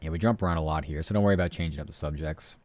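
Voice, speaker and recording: A man's voice: extremely low pitch, racing, a little mellow, no echo. A man streaming live, chatting casually and rambling from topic to topic while answering his chat.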